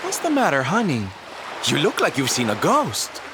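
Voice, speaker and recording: A man's voice: on the high side, wildly swooping pitch, moderate pace. A man playing a flamboyant, affectionate, teasing companion in a game, theatrical and coddling. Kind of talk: scripted game cutscene acting. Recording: water and ambience noise behind.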